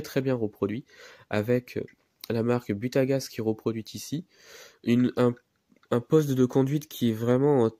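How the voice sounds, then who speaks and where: A man's voice: mid-range voice, dark tone, no room sound, studio quality. A man with a head cold and a nasal voice, presenting a miniature car in a hesitant, casual way with many fillers. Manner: hesitant, many fillers, repeats words while handling the model.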